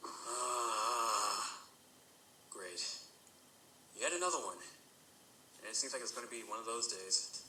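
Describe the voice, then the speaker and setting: A man's voice: medium pitch, takes it slowly, long pauses, sharp tone, slight background noise, poor audio. A man playing a polite, weary pony with a dry streak in an audio drama. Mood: weary, glum.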